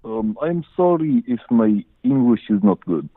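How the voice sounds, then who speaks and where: A man from Czechoslovakia, speaking haltingly as a caller to a talk show. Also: medium pitch, big pitch swings, no echo. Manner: halting, many pauses. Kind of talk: hesitant phone call-in chat. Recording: muffled, band-limited phone call.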